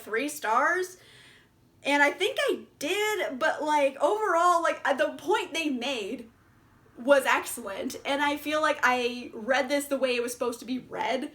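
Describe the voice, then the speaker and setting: A woman's voice: sits high, lively intonation, a little slow, fairly bright tone. A young woman casually reviewing books in a chatty vlog, laughing often and swearing freely. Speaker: book reviewer.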